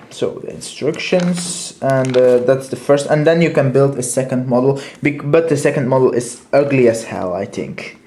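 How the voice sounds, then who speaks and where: A man's voice: medium pitch, mellow, reverberant, slight background noise. A young man with a Dutch accent casually reviewing a toy train, hesitant and full of fillers and restarts. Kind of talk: casual show-and-tell review.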